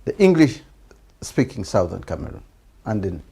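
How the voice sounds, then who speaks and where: A male interviewer with a Ugandan accent and a husky, mellow voice. His pitch moves around a great deal as he argues conversationally, often repeating words while he builds his point. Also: very animated, a little slow. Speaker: TV programme host.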